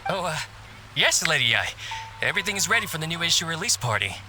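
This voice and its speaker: A man's voice: a little high, very animated, fast, low-quality recording. A man voicing a timid, nervous employee with a hesitant, deferential manner in a video game. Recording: music behind the voice.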